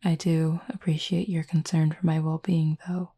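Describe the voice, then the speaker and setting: A horned cupid roommate, acted by a woman, speaks softly and intimately in a low, husky voice with a mellow tone. The delivery is calm and measured, with polite, formal wording and a tender, teasing warmth made for roleplay audio. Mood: gentle, reassuring. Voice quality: soft, breathy, husky.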